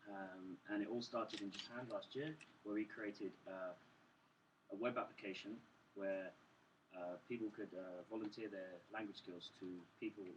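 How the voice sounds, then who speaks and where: A man co-presenting an informal live pitch in a hesitant, halting way. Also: fairly even intonation. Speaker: co-presenter, technical developer. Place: live event room. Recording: distant mic, clearly noisy room.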